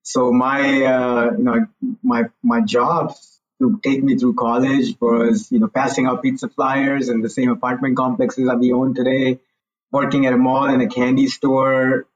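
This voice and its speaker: A man's voice: medium pitch, level tone, unhurried, distant mic, muffled recording. A man in his forties from India, a guest telling his story frankly in a casual interview. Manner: candid, hedges with "you know, look".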